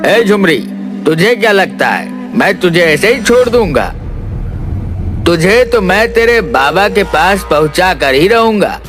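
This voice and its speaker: A man voicing a scheming, bullying fat village merchant villain in an animated moral story, menacing and insulting. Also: high voice, unhurried. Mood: threatening. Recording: music behind the voice.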